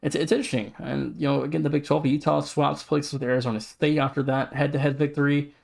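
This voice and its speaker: Man with a gravelly edge talking sports. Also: a little high, level tone, fast talker, darkish tone. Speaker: podcast co-host. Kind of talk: casual sports talk, running through standings.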